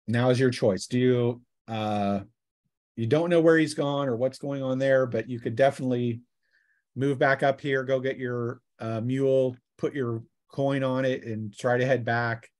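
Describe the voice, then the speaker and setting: A man working as game master, narrating a tabletop role-playing session in a casual, improvised, halting way. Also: mid-range voice, fairly even intonation, a little mellow.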